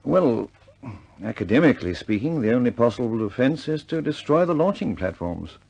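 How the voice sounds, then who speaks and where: An actor playing a mild, thoughtful British inventor, speaking in a hesitant, understated academic way in a 1950s war film style. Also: male voice, medium pitch, big pitch swings, normal speed, gravelly, a little mellow, breathy edge, exaggerated voice. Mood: grave, thoughtful.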